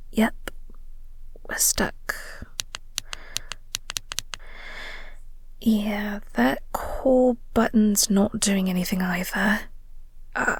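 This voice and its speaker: A woman playing a flirty, awkward vampire co-worker in an intimate audio roleplay, soft and breathy, with a hesitant, polite, coy manner. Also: medium pitch, no echo. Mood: uneasy.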